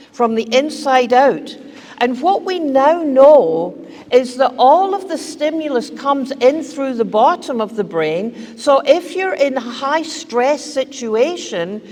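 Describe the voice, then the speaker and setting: An older Scottish woman speaks slowly and deliberately at a podium microphone, joking and emphatic with her points. Her voice rings out with a long reverb, as in a large hall. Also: high-pitched. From Scotland. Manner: lively, joking, emphatic, gesturing. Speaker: keynote speaker. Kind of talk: humorous conference keynote. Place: large hall with seated audience. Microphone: podium mic on stand.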